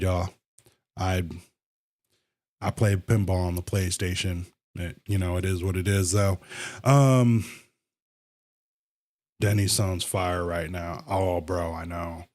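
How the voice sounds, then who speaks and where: Low, slow-talking man streaming a game. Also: a little mellow. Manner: slangy, informal. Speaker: livestreamer. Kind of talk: casual game stream commentary, reading dialogue aloud.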